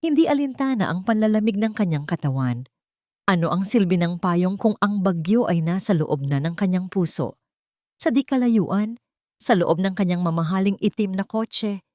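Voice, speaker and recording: A woman's voice: medium pitch, animated, normal speed, a little mellow, no room sound, studio quality. A woman narrator reading a scripted romance story in a dramatic storytelling style.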